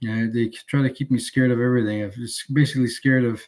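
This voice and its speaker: A man rambling casually on a livestream, halting and digressive as he thinks aloud while showing his purchases. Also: medium pitch, steady tone, a little mellow. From Canada.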